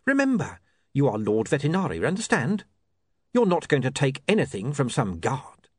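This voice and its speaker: A man voicing a smooth, calmly menacing male criminal in a storybook reading. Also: medium pitch, moderate pace, no room sound, clean studio sound, pitched above the performer's own voice. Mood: coaxing. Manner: calm reasoning menace.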